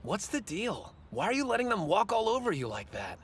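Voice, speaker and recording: A man's voice: high-pitched, huge pitch swings, a little fast, a little hiss or hum, full character voice. A man voicing a short, stubborn teenage sumo wrestler in an English anime dub, earnest and blunt. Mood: puzzled. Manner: earnest, blunt, stern about respect. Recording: dub with loud music score.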